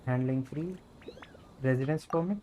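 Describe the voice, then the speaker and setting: A man's voice: mid-range voice, normal speed, background noise. A man with an Indian accent giving casual gameplay commentary as a streamer, with game music behind him.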